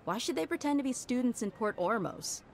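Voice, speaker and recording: A woman's voice: on the high side, expressive, brisk, creaky, slight background noise. A woman voicing a tough, casual young mercenary bodyguard in a video game, blunt but kind. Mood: skeptical, warning. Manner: laid-back, blunt, confident. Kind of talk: video game character dialogue.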